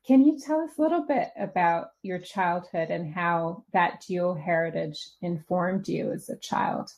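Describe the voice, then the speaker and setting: A woman's voice: on the low side, a little slow, gravelly, a little mellow. A woman co-hosting a podcast, interviewing a guest in a friendly, encouraging way. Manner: friendly, encouraging, with short backchannel replies.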